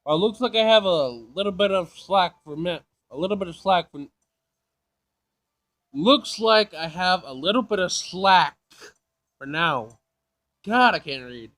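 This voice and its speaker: A man's voice: sits high, expressive, measured pace, fairly bright tone. A man doing a casual let's play, reading game text aloud and reacting in an informal, sassy way. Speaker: gaming video player.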